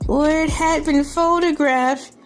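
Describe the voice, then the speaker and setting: Female commentator, higher-pitched and slow, joking and incredulous in casual asides, faint music underneath. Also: fairly even intonation. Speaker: video host. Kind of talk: casual comedic commentary on a news article. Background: background music.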